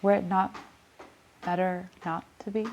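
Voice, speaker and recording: A woman voicing a calm, sorrowful inner voice that tempts the speaker, in a soft and even recitation. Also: on the low side, unhurried, slight background noise. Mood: quietly persuasive.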